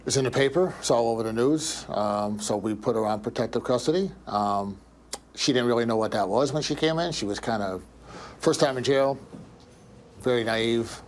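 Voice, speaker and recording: A man's voice: mid-range voice, normal speed, slight background noise. A man on the jail staff speaking matter-of-factly with some ums. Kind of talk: documentary interview.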